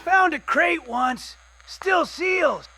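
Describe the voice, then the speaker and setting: An eccentric wasteland scavenger for a radio drama. His voice is very high and sharp, with drawn-out, theatrical exclamations. He comes across as manic and excitable. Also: exaggerated voice. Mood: fondly boastful. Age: 30s.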